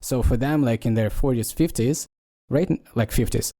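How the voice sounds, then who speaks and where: A man with a mellow, warm tone at a middle pitch. He co-hosts a casual podcast talk. He speaks haltingly, stopping often to search for the right word. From Ukraine. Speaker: podcast co-host. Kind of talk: casual discussion.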